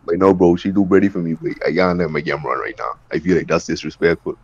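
A teenage boy, a relaxed, slangy contestant on a video call. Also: low-pitched, very fast. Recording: dull lo-fi call audio.

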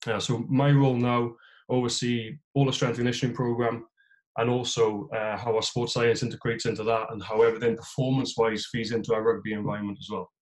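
A man's voice: mid-range voice, little pitch movement, fairly quick, darkish tone, poor audio. A man with a South Welsh accent talks through a webinar presentation over a video call. His voice sits in a middle register, and he speaks loosely, with frequent fillers and restarts. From South Wales. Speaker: head of physical performance, guest speaker. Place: small room at home, indoors. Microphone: video call on a laptop.

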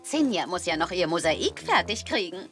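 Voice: mid-range voice, sing-song, moderate pace, a bit bright. A performer playing a proud grown-up looking after a child in a dubbed children's cartoon. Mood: proud, friendly. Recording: music bed behind the voice.

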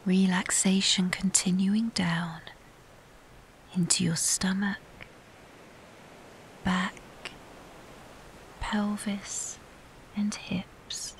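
A woman guiding a scripted meditation in a soft, breathy near-whisper, calm, soothing and unhurried with long pauses. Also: medium pitch, poor audio. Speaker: meditation guide. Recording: steady background hiss.

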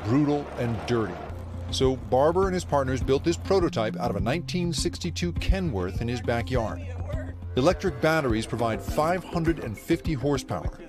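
Middle-aged male reporter, measured news read, music bed. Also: mid-range voice, expressive. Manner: measured, then chatty and laughing in studio. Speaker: news correspondent. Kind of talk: scripted news narration and studio chat. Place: TV news studio for the chat.

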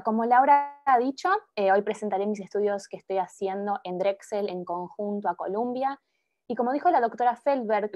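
A young woman presenting her doctoral research over a video call, pausing often with fillers and restarts. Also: mid-range voice, a little mellow. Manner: hesitant, many filler sounds and restarts. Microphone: laptop microphone on video call. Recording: muffled video call audio.

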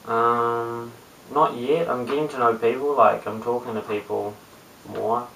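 Mumbling young New Zealand man, flat pitch. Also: unhurried, gravelly. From Christchurch, New Zealand. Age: young adult, late teens. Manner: low-key, mumbling, hesitant. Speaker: amateur video blogger. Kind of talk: casual chat to camera. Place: small bare room indoors. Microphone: webcam at a short distance. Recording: roomy, distant webcam mic with hiss.

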